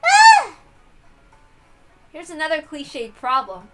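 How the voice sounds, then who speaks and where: Super high sharp smug young lady for a visual novel, sarcastic, showy, big exclamations. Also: huge pitch swings, slow, soft background music, exaggerated voice. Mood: mocking. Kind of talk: hobby visual novel voice reading.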